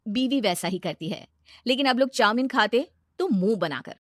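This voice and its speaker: A woman's voice: on the high side, expressive, normal speed, no echo, studio quality. A woman narrating a scripted moral story.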